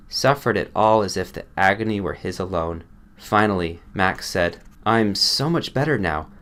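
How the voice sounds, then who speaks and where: A man's voice: low-pitched, fairly bright tone. A man reading a short story aloud as an audiobook narrator, in a scripted storytelling style.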